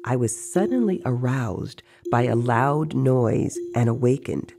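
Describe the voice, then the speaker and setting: A narrator telling a dramatized story in a measured, deliberate way with pauses. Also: mid-range voice, lively intonation, creaky, noisy background. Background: music.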